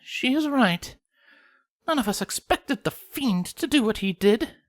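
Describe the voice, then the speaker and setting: Casting: composed, genteel cartoon lady pony acted by a man, high-pitched, consoling and proper. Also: lively intonation, measured pace, no echo, clean studio sound. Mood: consoling, firm. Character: refined, ladylike female cartoon unicorn, composed and caring.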